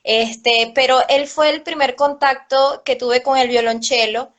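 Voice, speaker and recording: A woman with a Venezuelan accent, a cello teacher answering interview questions in a chatty way, often laughing and filling pauses. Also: sits high, fairly even intonation, a bit bright. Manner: chatty, laughs often, many fillers like "este". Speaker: cello teacher and orchestra program director.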